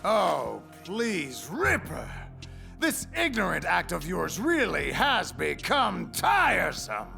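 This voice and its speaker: A sneering, gravelly rebel leader. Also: male voice, high-pitched, wildly swooping pitch, takes it slowly, sharp tone, soft background music, full character voice. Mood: scornful. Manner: taunting, condescending, theatrical. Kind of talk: video game villain acting.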